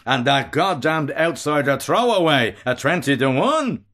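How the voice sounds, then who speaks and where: A man's voice: fairly high pitch, animated, unhurried, fairly bright tone, pitched above the performer's own voice. A man voicing a brutal, blustering male brothel keeper who talks in a coarse, bullying, gloating way.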